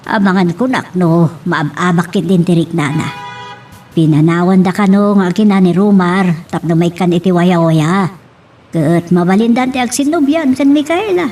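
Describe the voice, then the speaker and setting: A woman's voice: on the low side, moderate pace, a little mellow, recorded from a distance, natural voice. A woman with an Ilocano accent acting a hurt, weary but resolute young mother in a love drama. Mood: sad, resolute.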